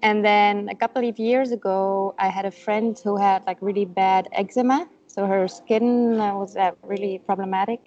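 A woman's voice: mid-range voice, fairly even intonation, moderate pace, hardly any pauses, a little mellow, dull audio. A Belgian woman whose first language is Dutch, chatting casually as a guest over a video call, with lots of fillers and laughs.

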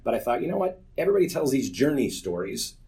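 Man, forties, mid-pitched voice, candid church testimony, earnest storytelling, self-deprecating. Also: very animated, quick pace, gravelly, dark tone. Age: 40s. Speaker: church member giving a personal testimony. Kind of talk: personal testimony speech to a church group.

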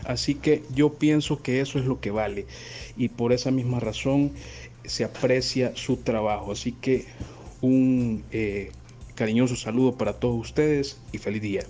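A man sending a formal, measured voice greeting to a live show. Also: medium pitch, mellow, faint music behind. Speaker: viewer sending a voice message.

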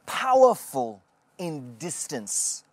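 Woman, low voice, slow and emphatic, studio mic, dry sound. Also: very animated, no echo. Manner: measured, emphatic, slow sermon-like delivery. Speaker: TV prayer session host. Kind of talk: preaching and interviewing callers. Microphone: studio microphone.

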